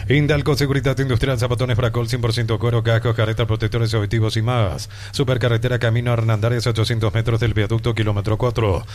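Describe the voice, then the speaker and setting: Man, radio ad voice, very fast reads, faint noise behind. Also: mid-range voice, flat delivery, a little mellow. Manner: rapid announcer delivery. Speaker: radio ad announcer. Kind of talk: scripted radio commercials.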